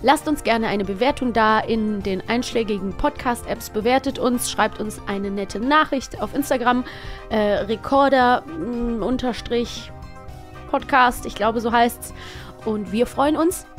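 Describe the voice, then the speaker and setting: A woman's voice: on the high side, a little slow, soft background music. A woman co-hosting a podcast in casual chat, talkative and laughing easily as she leads the conversation. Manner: chatty, laughing, guides the talk. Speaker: podcast co-host.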